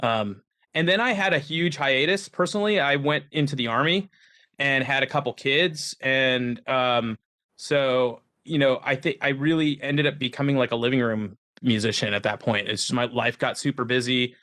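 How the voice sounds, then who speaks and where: A man's voice: medium pitch, moderate pace, pushed and bright. A man in his thirties, a musician guest, telling rambling, self-deprecating stories in a casual conversation.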